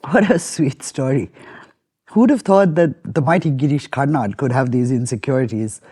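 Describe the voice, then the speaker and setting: Soft, husky female co-host. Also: very deep voice, normal speed. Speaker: podcast co-host. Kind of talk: conversational narration.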